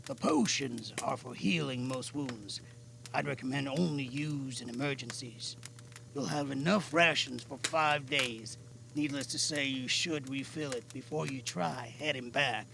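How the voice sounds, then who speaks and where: A man playing a weary, cautious older mentor in an amateur audio drama, speaking in a measured, grave, gravelly way. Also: medium pitch. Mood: instructive, steady. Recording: noisy amateur mic with clicks.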